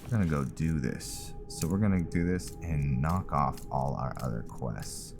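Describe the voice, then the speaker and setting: A man's voice: extremely low pitch, lively intonation, moderate pace, vocal fry, over music. A man giving casual, laid-back let's-play commentary on a video game, thinking aloud as he plays. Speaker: gaming host, roleplaying player.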